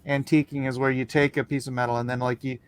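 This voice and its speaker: A man's voice: medium pitch, level tone, quick pace, clear, resonant tone, no room sound. A man chatting casually as a podcast co-host. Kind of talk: casual chat.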